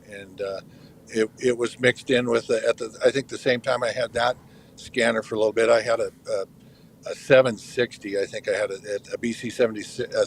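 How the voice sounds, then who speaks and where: A man's voice: mid-range voice, slight background noise. A man calling in by phone as a guest, talking hesitantly as he searches his memory. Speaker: guest caller. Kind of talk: casual chat.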